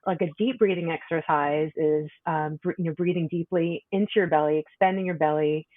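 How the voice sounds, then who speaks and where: A woman podcast guest giving conversational advice in a thoughtful, halting, hedging manner, heard over tinny call audio. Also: low voice, fairly even intonation, no echo. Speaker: podcast guest, wellness workshop leader.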